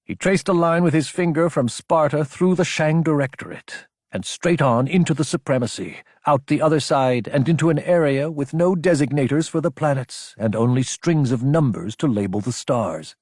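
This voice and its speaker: Husky male audiobook narrator. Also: on the high side, moderate pace, hardly any pauses, a little mellow, dry recording, clean studio sound. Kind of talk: dramatic scripted narration.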